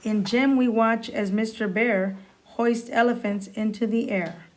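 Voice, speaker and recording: A woman's voice: on the high side, steady tone, measured pace. A woman reading a children's story aloud. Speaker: school staff member reading aloud.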